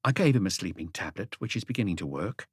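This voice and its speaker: A man voicing an apologetic, weary female concierge in an audiobook, with a hushed, complaining manner. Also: low voice, lively intonation, fast talker, breathy edge, dry recording, clean studio sound.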